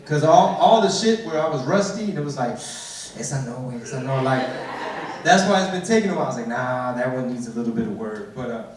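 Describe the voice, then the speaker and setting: A man's voice: medium pitch, fairly quick, a crowd in the background. A man with a Texan accent hosting a live podcast on a comedy club stage, laid-back and slipping into Spanish slang. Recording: echoey club from a distance.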